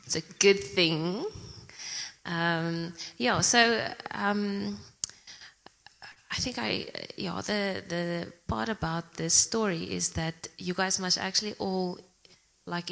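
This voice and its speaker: A young woman from Zimbabwe sharing a personal testimony at church, earnest and soft-spoken, with many pauses and "um"s. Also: on the low side, gravelly. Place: church hall. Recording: long reverb of a church hall.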